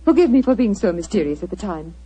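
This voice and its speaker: An actress playing a poised, earnest, wealthy titled lady with genteel, measured speech in a 1940s radio drama. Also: medium pitch, sing-song, warm tone, husky. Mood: earnest, apologetic. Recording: muffled vintage 1940s radio recording.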